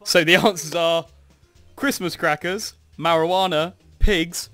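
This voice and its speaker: A man's voice: on the high side, unhurried, a bit bright, faint music behind. A young man reading quiz prompts aloud and joking with friends during a party game. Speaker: game host reading questions. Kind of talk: casual gaming chat.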